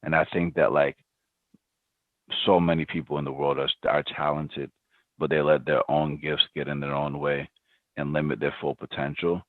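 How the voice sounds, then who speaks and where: A man's voice: a bass voice, level tone, normal speed, dry recording. A man in his thirties, a startup founder, answering interview questions reflectively, with many ums and restarts. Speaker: podcast guest, startup founder. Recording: dry, phone-like remote line.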